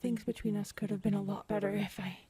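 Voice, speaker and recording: A woman playing a big, earnest predatory alien woman in an intimate audio roleplay, soft and hesitant, often murmuring. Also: medium pitch, flat delivery, fast talker, breathy edge. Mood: earnest, wounded. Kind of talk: audio roleplay acting, addressed to listener.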